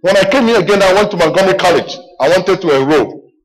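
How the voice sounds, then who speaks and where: A middle-aged Ghanaian man preaches in a high voice, spinning personal stories into his sermon. He talks animatedly, doubles back on his words and calls out "Amen" to his listeners. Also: fast talker, low-quality recording. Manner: animated storytelling, repeats himself, calls "Amen".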